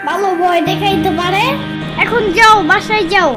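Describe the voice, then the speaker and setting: A woman voicing a cheeky, stubborn little boy who talks back with quick, sassy retorts. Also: extremely high pitch, steady tone, over loud music. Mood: defiant.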